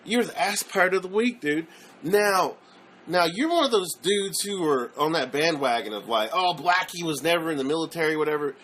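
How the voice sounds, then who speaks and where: A man's voice: a little high, lively intonation, moderate pace, a bit bright, slight background noise. A man ranting casually in a played video clip, rambling, profane and full of restarts. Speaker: YouTuber.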